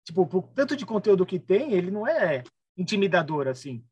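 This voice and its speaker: A man's voice: high-pitched, very animated, fairly quick, lo-fi sound, crowd noise. A Brazilian-accented man co-hosting a casual podcast chat with chatty asides. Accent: Brazilian Portuguese. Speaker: podcast co-host.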